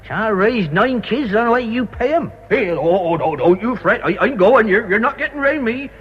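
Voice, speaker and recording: An actor playing a boozy, resentful English cathedral guide in a radio drama, colloquial and blustering, with a husky voice. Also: male voice, high-pitched, expressive, normal speed. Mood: drunken resentment. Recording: muffled radio drama, reverberant hall.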